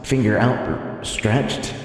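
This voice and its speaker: A man's voice: lowish voice, drawn-out words, a little hiss or hum, low-quality recording. A man streaming a video game, reading its dialogue aloud in a dramatic, theatrical way. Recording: game music behind the voice.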